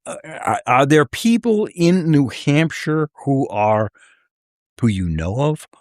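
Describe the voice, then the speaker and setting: A man's voice: on the high side, sing-song, slow pace, dry recording. An American man hosting a radio interview in a casual, bantering style, with slangy, exclamatory reactions, recorded in a studio. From Boston, USA.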